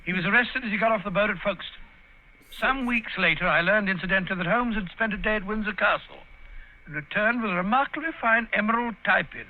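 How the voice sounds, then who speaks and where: Man as a fretful loyal sidekick, old-time radio drama, high pitch, muffled old recording. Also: fast, close to an everyday voice. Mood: reminiscing. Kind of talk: 1940s radio acting.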